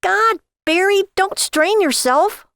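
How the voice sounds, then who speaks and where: A woman's voice: very high-pitched, animated, takes it slowly, fairly bright tone, no room sound, clean studio sound, pitched above the performer's own voice, heavily acted. A woman voicing an exasperated, nagging wife in a story, sarcastic and scolding toward her husband. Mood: scornful.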